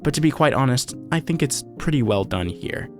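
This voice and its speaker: A man's voice: medium pitch, animated, a little fast. A man delivering scripted, analytical video essay narration in a conversational way, with casual asides. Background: music.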